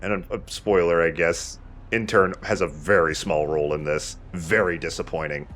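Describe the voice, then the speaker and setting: A man's voice: deep voice, fairly even intonation, moderate pace, a bit bright, a little hiss or hum. A man giving casual, joking, slangy commentary as a let's play co-host. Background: video game ambience.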